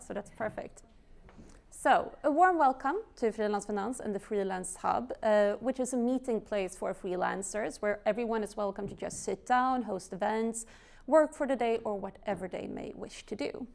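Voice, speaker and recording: A woman's voice: a little high, gravelly. A woman, opening a live-streamed panel event in a friendly, lightly joking way. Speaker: event host at a freelance hub.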